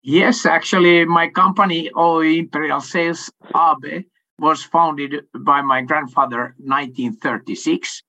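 Bright-voiced Finnish-born man, hesitant. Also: fairly high pitch, fairly even intonation, measured pace, low-quality recording. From Finland. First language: Swedish. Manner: hesitant, many fillers, laughs often. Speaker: sales coach and company owner. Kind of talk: casual interview answers.

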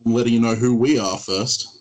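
Brief: male podcast co-host, mid-pitched voice, relaxed and easygoing, casual filler sounds. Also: fairly quick.

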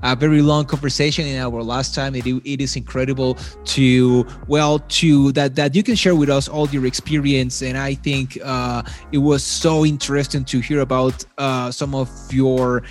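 A man's voice: medium pitch, fairly even intonation, normal speed, few pauses, soft background music. A man hosting a podcast, introducing the show and asking his guest about his career. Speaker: podcast host. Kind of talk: interview hosting.

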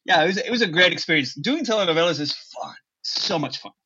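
A man whose first language is Spanish, a guest talking casually about acting and languages in an animated, emphatic way. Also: sits high, fast, muffled recording, crowd noise. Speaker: guest, actor. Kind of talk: casual interview talk.

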